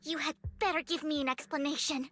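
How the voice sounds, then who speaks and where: A woman's voice: high voice, steady tone, brisk, bright, forceful tone, dry recording. An actress playing a hurt, indignant young woman in a game, demanding and reproachful. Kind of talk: game cutscene acting.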